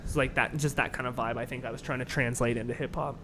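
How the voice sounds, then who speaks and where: Rambling young man with a high voice. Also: steady tone, fast talker, crowd noise. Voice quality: high register voice. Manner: rambling, many fillers and restarts. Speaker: guest musician. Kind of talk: casual interview chat.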